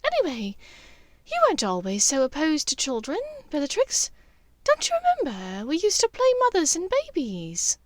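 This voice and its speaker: Woman playing high-voiced prim aristocratic witch. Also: huge pitch swings, normal speed, no room sound. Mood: waspish. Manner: smooth, genteel, slightly waspish. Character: prim well-bred pure-blood lady, guarded and politely evasive.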